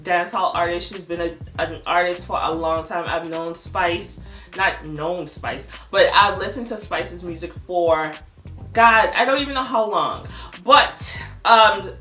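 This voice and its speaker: A woman hosting a gossip vlog, giving casual, opinionated commentary in a blunt, animated storytelling manner with frequent swearing. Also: lowish voice. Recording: echoey room with music underneath.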